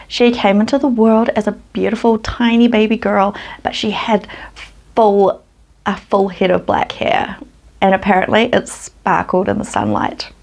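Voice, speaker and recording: Gravelly-voiced New Zealand woman. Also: medium pitch, a little mellow. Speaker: true crime channel host. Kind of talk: casual true crime storytelling.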